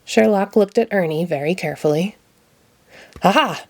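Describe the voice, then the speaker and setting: Animated, mellow woman reading a storybook. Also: medium pitch, normal speed, no echo. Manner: expressive storytelling. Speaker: storybook reader. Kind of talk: children's storybook read-aloud.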